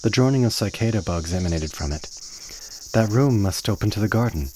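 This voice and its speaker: A man narrating a radio play in a measured storytelling manner. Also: fairly low pitch, animated, mellow, slight background noise, poor audio.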